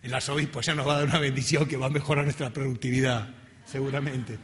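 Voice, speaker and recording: A man's voice: medium pitch, fairly even intonation, fast, fairly bright tone. A middle-aged man with a Latin American accent giving an informal academic talk in a conversational, self-deprecating way. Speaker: research scientist giving a lecture. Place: lecture hall. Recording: reverberant hall.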